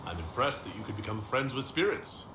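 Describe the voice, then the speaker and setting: A man's voice: mid-range voice, sing-song, brisk, noisy background, lightly acted. An actor playing an inquisitive male leader who asks questions with a dry sense of humor. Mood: curious. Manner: curious questioning, dry wit. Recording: roomy game audio with music.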